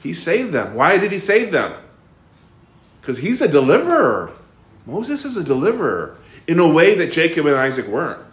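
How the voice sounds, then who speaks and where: Animated man teaching a Bible class. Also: mid-range voice, roomy sound. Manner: animated, asks the class questions, frequent fillers and restarts. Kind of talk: interactive bible study teaching.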